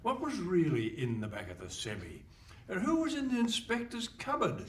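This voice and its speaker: A man's voice: sits high, huge pitch swings, normal speed. A man narrating a comic radio play in a theatrical, scripted style before a live microphone. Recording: live hall stage, distant mic, faint noise.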